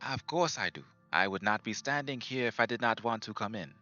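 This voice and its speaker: A man's voice: fairly low pitch, lively intonation, fairly quick, sharp tone, soft background music. A man playing an arrogant, skeptical doctor of science with a pompous, demanding manner. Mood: impatient. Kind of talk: audio drama acting. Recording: slightly dull lo-fi recording.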